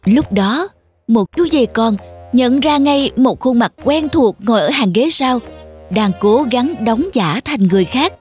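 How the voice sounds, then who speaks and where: A woman storyteller reading a scripted narration for a children's animated tale. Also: a little high, a little slow, faint music behind.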